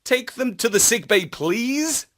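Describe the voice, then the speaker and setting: A man voicing a polite, earnest human commander who speaks in a measured, slightly hesitant way for a children's story. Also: extremely high pitch, sing-song, a bit bright, voice pushed up, heavily acted. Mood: tentative, polite.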